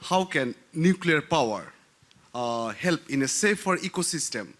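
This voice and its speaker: A man's voice: on the high side, expressive, unhurried, fairly bright tone. A man from Bangladesh in a conference audience, asking a question hesitantly with many fillers and rephrasings. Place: conference hall.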